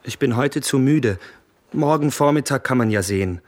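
A man playing a young gentleman in a radio drama, curt and haughty yet unsure. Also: medium pitch, lively intonation, normal speed, close to an everyday voice. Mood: evasive. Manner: curt, dismissive.

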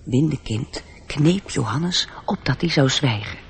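A man with a husky, gravelly voice reading scripted narration for a radio play. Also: medium pitch, expressive, a little slow, a little hiss or hum.

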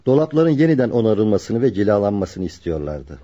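A loyal carpenter in a radio play, with a dark, husky voice. He is serious and measured, and the recording sounds muffled. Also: lowish voice, sing-song. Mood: earnest, serious. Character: loyal, earnest carpenter protecting his childhood friend. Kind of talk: radio drama acting.

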